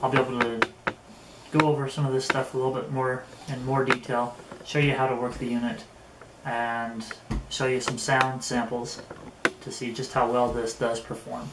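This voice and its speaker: Even-toned man reviewing gadgets in echoey room. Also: medium pitch. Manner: halting, thinking aloud while handling items. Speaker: product reviewer. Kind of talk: casual unscripted gadget demonstration. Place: indoor room. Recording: echoey room, faint background noise.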